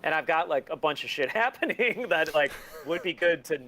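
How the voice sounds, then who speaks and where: A man chatting casually as an interview guest, self-deprecating and quick to swear. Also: a little high, lively intonation, sharp tone. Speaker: podcast guest, record label and museum owner. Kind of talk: casual chat.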